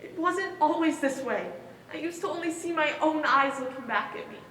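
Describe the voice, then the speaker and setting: A woman acting a troubled, bewildered figure with a failing memory in a stage monologue, measured and reflective. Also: sits high, fairly bright tone. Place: stage in a large hall, distant mic. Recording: echoey hall from far off, noisy.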